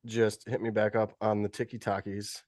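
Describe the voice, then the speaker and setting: A man's voice: fairly low pitch, level tone, moderate pace, a little mellow, no echo. Male podcast host with a cold, sniffly, chatty and laughing often, dry close call audio. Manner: loose, laughing, rambling. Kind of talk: casual live podcast chat.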